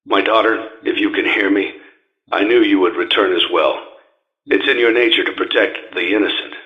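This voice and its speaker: Muffled tape sound, a solemn dad in a video game, husky and deep, formal, heavy with guilt. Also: male voice. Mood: sorrowful, tender. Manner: measured, formal, grave delivery. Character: weary, grieving older father, solemn and menacing, guilt-ridden inventor. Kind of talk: dramatic scripted monologue and taped instructions. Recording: dull lo-fi radio-like tape sound.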